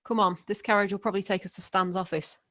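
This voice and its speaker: A woman's voice: medium pitch, fairly even intonation, fast talker, sharp tone, no echo, clean studio sound, natural voice. A woman playing a casual, wry spaceship crew member in a sci-fi comedy table read.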